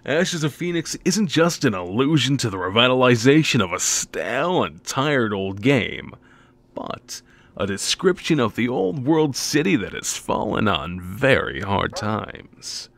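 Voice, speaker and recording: A man's voice: mid-range voice, big pitch swings, a little slow, a bit bright. A man reading a scripted game preview narration with theatrical, wry, emphatic phrasing. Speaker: video game reviewer and narrator.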